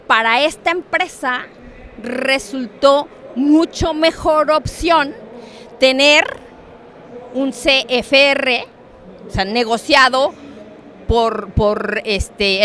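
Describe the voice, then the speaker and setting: A middle-aged woman with a Mexican Spanish accent giving a conference talk on trade, animated and joking, often turning to the audience with questions. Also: high-pitched. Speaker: conference speaker on international trade. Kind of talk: lively conference talk with props and asides. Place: conference hall.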